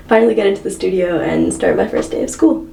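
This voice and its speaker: A young woman from Canada chatting excitedly in a casual vlog, full of "like" and quick asides. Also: medium pitch, creaky, a little hiss or hum, rough recording. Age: young adult. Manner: bubbly, fillers with like. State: stuffed up from a cold. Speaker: vlogger.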